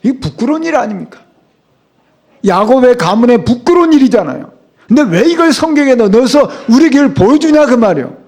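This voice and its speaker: A man's voice: extremely high pitch, very animated, brisk, darkish tone. A middle-aged man preaching a sermon as a pastor, speaking emphatically and asking the congregation rhetorical questions. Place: church sanctuary.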